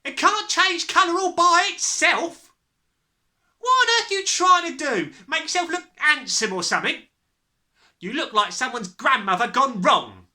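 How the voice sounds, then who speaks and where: Shrill, shrieking, scolding British mother. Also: lively intonation, unhurried, pushed and bright, exaggerated voice. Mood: shrieking scorn. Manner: shrill, exclamatory, nagging. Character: shrieking, scolding, scornful middle-aged English mother.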